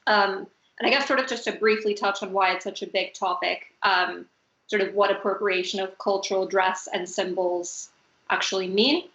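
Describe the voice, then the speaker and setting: A woman's voice: mid-range voice, steady tone, normal speed, a bit bright. A young woman from the United States moderating a panel discussion over a video call, talking with many fillers and hedges. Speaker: talk moderator. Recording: roomy, muffled call audio.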